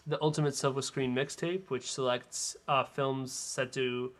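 A man's voice: medium pitch, fairly even intonation, measured pace. A man co-hosting a film podcast, chatting casually and analytically with firm opinions. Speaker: film podcast co-host and critic.

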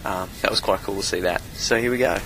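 A man's voice: low voice, fast talker, sharp tone, slight background noise, poor audio. A man casually recapping his race, as a podcast host and amateur triathlete.

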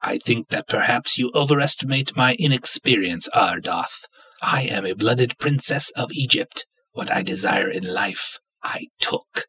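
A man voicing a haughty, seductive undead ancient Egyptian princess in a dramatic story reading, cool, hushed and formal. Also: medium pitch, unhurried, slightly rough, poor audio. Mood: seductive, aloof.

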